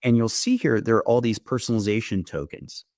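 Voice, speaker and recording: A man's voice: medium pitch, brisk, a little mellow, no echo, clean studio sound. A man giving a conversational product demo, explaining things step by step with the odd check question. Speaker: company founder giving a software demo.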